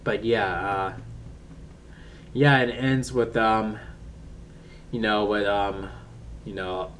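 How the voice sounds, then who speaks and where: Deep-voiced man rambling, distant mic. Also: steady tone, a bit bright. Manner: halting, rambling, searching for words. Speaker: TV episode reviewer. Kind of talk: casual unscripted review chat. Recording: distant mic with faint background noise.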